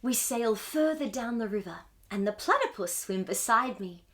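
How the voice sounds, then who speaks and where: A woman hosting a storytime, reading a picture book aloud to young children in an expressive, wonder-filled way. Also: on the high side, moderate pace, a little hiss or hum. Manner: wide-eyed wonder, exclamations and gasps between passages.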